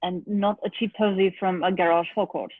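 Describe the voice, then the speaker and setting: A woman's voice: fairly low pitch, steady tone, a little fast, no echo, close to an everyday voice. Through narrow, dry lo-fi audio comes a stern middle-aged stepfather, played by a woman at a table read. The delivery is formal and clipped, full of stiff reproach. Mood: stern, reproachful. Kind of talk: informal at-home script table read.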